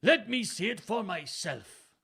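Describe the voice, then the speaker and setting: Excitable, high-pitched old Welsh earl. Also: male voice, measured pace, gravelly, husky, heavily acted. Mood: suspicious. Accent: wobbly put-on Welsh. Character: agitated old Welsh earl, muttering and snarling.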